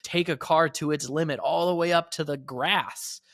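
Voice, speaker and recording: A man's voice: a little high, normal speed, fairly bright tone, no room sound, studio quality. An American man co-hosting a motorsport podcast, talking casually and arguing his point with rhetorical questions. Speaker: podcast co-host and professional racing driver.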